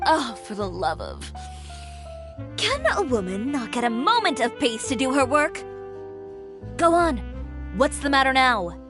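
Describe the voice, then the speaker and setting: An actress playing a blunt, self-assured young fashion designer in an anime video game, speaking in a crisp, commanding, impatient way. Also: female voice, sits high, very animated, measured pace, pauses often, over music. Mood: exasperated.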